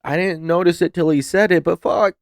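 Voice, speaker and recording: A man's voice: fairly high pitch, fairly even intonation, brisk, no room sound, studio quality. A man hosting a reaction video, talking casually with loose swearing and laughs. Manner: laid-back, swears freely, laughs.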